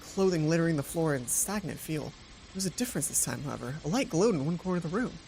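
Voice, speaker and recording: A let's play host reading visual novel text aloud and commenting on it, with dramatic, expressive delivery and drawn-out emphasis. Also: mid-range voice, creaky, a little hiss or hum, rough recording. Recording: music behind the voice.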